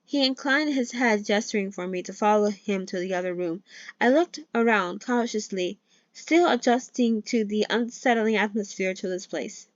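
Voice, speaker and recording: A woman's voice: mid-range voice, normal speed, dry recording. A woman who is not a native English speaker, reading a novel aloud in a casual read-along, chatting between passages and stumbling over words. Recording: dull, lo-fi dry mic.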